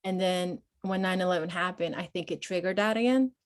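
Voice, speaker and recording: A woman's voice: on the low side, fairly quick. Peru-born woman veteran, hesitant speech. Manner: hesitant, many fillers, speaks openly and personally. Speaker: guest, Marine veteran and nonprofit founder. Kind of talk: conversational podcast interview.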